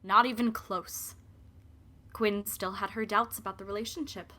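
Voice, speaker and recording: A woman's voice: medium pitch, steady tone, normal speed, sharp tone, close to an everyday voice. A woman playing a cynical, candid narrator in a scripted audio drama. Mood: wry, somber. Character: cynical, candid female narrator of a heartbreak story. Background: music.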